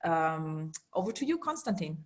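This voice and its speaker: A woman's voice: deep voice, very animated, moderate pace, slight background noise. Woman, host of an online graduation; casual and encouraging, speaking over a video call. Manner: casual and encouraging, with many fillers. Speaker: bootcamp host introducing speakers. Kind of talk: casual hosting.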